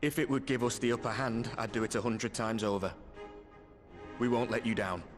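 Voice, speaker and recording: An actor playing an eager, earnest, plain-spoken young soldier in a video game cutscene. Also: male voice, mid-range voice, steady tone, moderate pace, lightly acted. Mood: determined. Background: prominent music.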